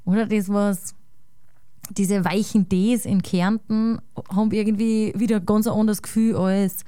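A woman with a Salzburg Austrian German accent talks in a relaxed, chatty way, circling her thoughts with fillers and tag questions. Her voice is smooth and resonant with a dark, warm tone, recorded close and dry. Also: mid-range voice, measured pace, dry recording. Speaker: guest musician. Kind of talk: casual conversation.

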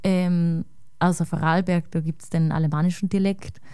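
An Austrian woman with a Salzburg accent, a guest chatting casually and thinking aloud, often ending with a quick tag question. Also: fairly low pitch, steady tone, dark tone, clear, resonant tone, dry recording, studio quality. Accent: Salzburg Austrian German. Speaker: guest musician. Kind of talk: casual conversation.

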